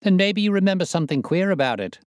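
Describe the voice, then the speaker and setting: A swaggering young convict ringleader, as a male narrator puts him on, boastful and excitable, raised well above the narrator's own low voice. He talks coarse and loud, sure of himself. The sound is dry and close. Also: quick pace, dry recording.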